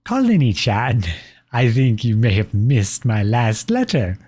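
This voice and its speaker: A man's voice: medium pitch, sing-song, a little slow, a little mellow, husky. A man voicing a pompous, possessive empire personified as a cloyingly affectionate suitor in a scripted ASMR roleplay, reading out letters with sugary condescension. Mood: concerned, condescending.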